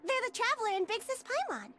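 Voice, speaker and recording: A woman's voice: very high voice, big pitch swings, quick pace, pushed and bright, faint music behind, full character voice. A woman voicing a small, forgetful girl of a non-human race in a game, speaking cheerfully and innocently like a child. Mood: sweet.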